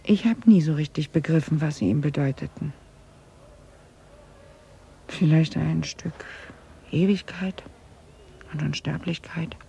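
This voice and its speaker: An actress playing a haunted middle-aged woman in a German radio drama, confiding grave family guilt in a halting, husky voice. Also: deep voice, warm tone, exaggerated voice. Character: haunted Dutch woman confessing her family's wartime guilt. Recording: slightly dull 1980s radio recording.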